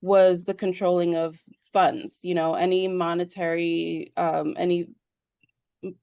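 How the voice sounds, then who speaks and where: A woman from New York, born in Peru, telling her personal story as an interview guest in a hesitant, halting way. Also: fairly low pitch, little pitch movement, vocal fry, a little mellow, no echo. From New York, USA, born in Peru. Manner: hesitant, halting, thinking as she speaks. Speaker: podcast guest.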